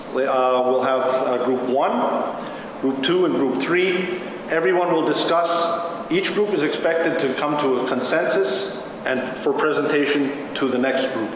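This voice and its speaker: Higher-voiced man in a very echoey hall. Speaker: meeting facilitator. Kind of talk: addressing a public meeting. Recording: very echoey hall, clear background noise.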